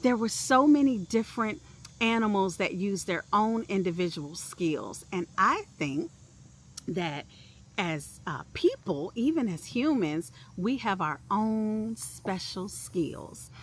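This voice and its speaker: Woman storytime host, encouraging, deliberate slow pace, very lively intonation, faint background noise. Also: medium pitch. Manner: encouraging, deliberate, addresses young listeners. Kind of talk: expressive read-aloud for preschoolers.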